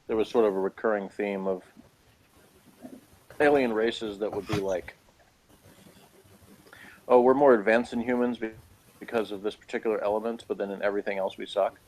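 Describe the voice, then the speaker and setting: A man's voice: on the low side, steady tone, normal speed, pauses often, a little mellow, low-quality recording. A man chatting casually as co-host of a TV review show, with a sarcastic, mocking way of putting things down. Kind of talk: casual chat reviewing a sci-fi TV episode.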